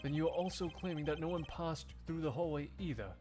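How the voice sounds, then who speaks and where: A man voicing a cool, stern investigator in a measured, formal way for a game fandub. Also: a little high, darkish tone, faint music behind, lightly acted. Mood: stern, probing. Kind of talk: video game fandub acting.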